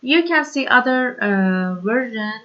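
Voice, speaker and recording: A woman from Iran, whose first language is Persian, teaching a programming tutorial in slow, hesitant English full of fillers. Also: a little high, animated, muffled recording. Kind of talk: screen-recorded coding tutorial.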